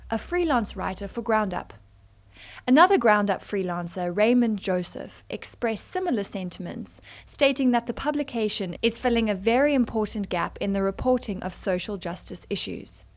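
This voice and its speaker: A young woman, a student journalist, reads a written article aloud as scripted narration. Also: mid-range voice. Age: young adult.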